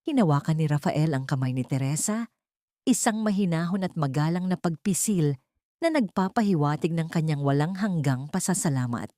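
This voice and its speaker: A woman's voice: low voice, lively intonation, moderate pace, warm tone, dry recording, studio quality. A Filipino woman narrating a scripted dramatic story in a sentimental, solemn manner. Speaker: audiobook narrator.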